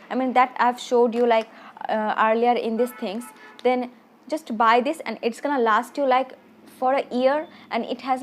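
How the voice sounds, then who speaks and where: A woman's voice: a little high, slight background noise. A woman who rambles through a casual product review, full of restarts and fillers, a little self-conscious. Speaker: beauty vlogger.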